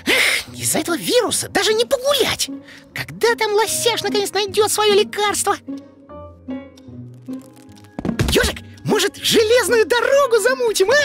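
Very high-pitched, cheeky rabbit boy. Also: big pitch swings, fairly quick. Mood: restless, curious. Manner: casual, slangy, exclamatory. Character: carefree, restless young boy rabbit, playful and cheeky. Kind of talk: animated educational cartoon dialogue. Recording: music behind the voice.

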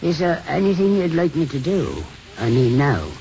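An actor playing a stubborn, sulky elderly Irish priest in 1950s radio style, halting and repeating his words. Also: a little high, big pitch swings, vocal fry, dark tone. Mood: proud, resigned. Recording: muffled, noisy 1950s radio broadcast.

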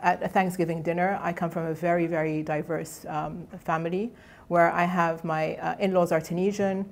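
A woman's voice: fairly low pitch, little pitch movement, normal speed, gravelly. A middle-aged woman whose first language is Egyptian Arabic gives a conversational academic lecture from a podium, often restarting her phrases. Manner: conversational, with frequent restarts and fillers. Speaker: guest lecturer. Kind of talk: academic colloquium talk. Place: lecture hall.

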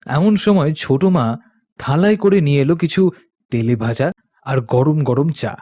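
A man narrating an animated ghost story in a dramatic storytelling style. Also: a little high, a little slow.

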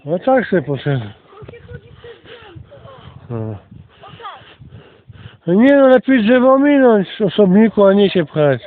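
A man's voice: high voice, sing-song, takes it slowly, long pauses. A man giving casual commentary while out on a walk, recorded outdoors as a vlogger. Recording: tinny phone-like sound with some noise.

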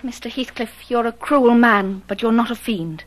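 A woman's voice: a little high, normal speed, natural voice. An actress playing a spirited, defiant but tender-hearted young lady in a radio drama, speaking earnestly. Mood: pleading, resolute.

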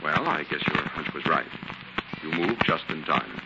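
Deep-voiced commanding radio detective. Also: male voice, animated, normal speed, gravelly, full character voice. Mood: businesslike. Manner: firm, clipped, authoritative. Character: brisk, commanding crime-fighting biochemist detective, adult man. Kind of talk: 1940s radio drama acting. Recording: noisy, muffled 1940s radio recording with clicks.

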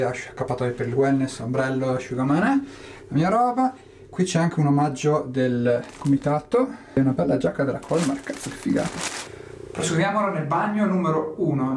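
Young male travel vlogger, slightly high voice, chatty and upbeat, handheld camera, noisy background. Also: a little mellow. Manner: chatty, upbeat, addresses viewers directly. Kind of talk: travel vlog, talking to camera. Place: mountain outdoors and hotel room. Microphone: handheld camera at arm's length.

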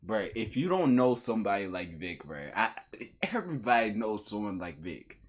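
A young man giving casual reaction commentary in an animated, slangy way, repeating phrases. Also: on the low side, normal speed, husky. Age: young adult. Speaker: reaction video host. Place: small bedroom, indoors.

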